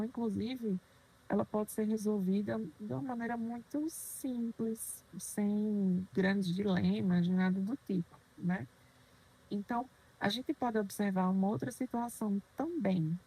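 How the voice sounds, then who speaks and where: A woman's voice: medium pitch, dark tone, slightly rough, low-quality recording. A woman lecturing on children's dentistry, explaining terms patiently and asking questions she then answers herself. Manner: didactic, poses questions and answers them, frequent "né?".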